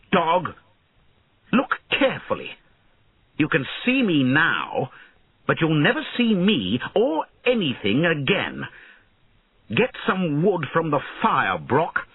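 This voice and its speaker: Man as a spiteful boy king, high strained shouts, imperious, storybook drama, tinny phone-like audio. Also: huge pitch swings, very slow, slightly rough, no echo, heavily acted. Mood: cruel, commanding. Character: cruel, angry young boy king.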